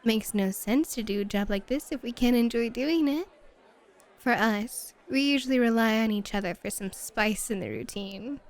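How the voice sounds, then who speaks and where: A woman's voice: a little high, close to an everyday voice. A woman playing a flirty, dominant and playful hostess at a bunny club in an ASMR roleplay, teasing and drawing out her words coquettishly. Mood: flirty, fond. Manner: teasing, coquettish, drawn-out purring.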